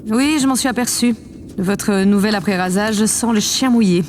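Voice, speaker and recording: Self-important woman leader for sci-fi audio comedy, female actor: measured, patronising, middle register. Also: medium pitch, darkish tone, a little hiss or hum, close to an everyday voice. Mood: curt, condescending. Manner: haughty, measured, condescending. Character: vain, condescending woman president of a space colony. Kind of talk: French audio comedy drama.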